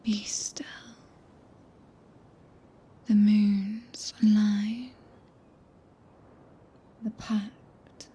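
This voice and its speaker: A woman with a British accent playing a regal, possessive witch in whispered ASMR roleplay, speaking archaically and imperiously with long pauses, close to the microphone. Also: mid-range voice, warm tone, full character voice. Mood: solemn, possessive. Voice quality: breathy, whispered. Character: regal, possessive witch, cool yet tenderly commanding. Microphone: close binaural ASMR microphone.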